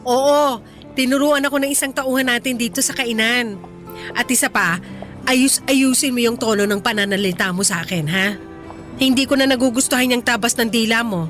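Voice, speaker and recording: A woman's voice: a little high, normal speed, fairly bright tone, natural voice. A woman playing a hardworking, touchy middle-aged Filipina mother in a Tagalog radio drama, with an emphatic, reproachful and melodramatic delivery and a Filipino accent. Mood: hurt, scolding. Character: hardworking, touchy Filipina mother running an eatery, easily hurt. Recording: music under the voice.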